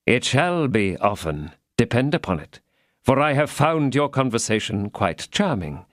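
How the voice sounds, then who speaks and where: A scheming older cardinal talks in a smooth, measured, falsely fatherly manner, as a man plays him. The voice is lively in pitch and the recording is dry and close. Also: no room sound. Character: scheming, cold-hearted older male cardinal.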